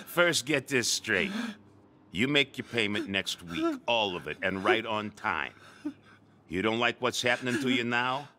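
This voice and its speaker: An actor playing a cruel, gloating mob enforcer in a radio drama, menacing and taunting. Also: male voice, mid-range voice, big pitch swings, unhurried, bright, forceful tone. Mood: mocking, threatening.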